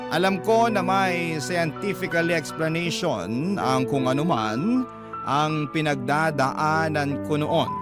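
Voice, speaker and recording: A male radio narrator reads a listener's letter aloud in the first person, earnest and dramatic. His voice sits a little high for a man, and loud instrumental music plays under him throughout.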